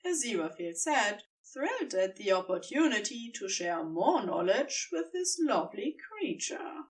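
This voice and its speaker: A woman's voice: a little high, very animated, measured pace, far from the mic. A woman reading scripted story narration as the narrator of an audio fiction piece.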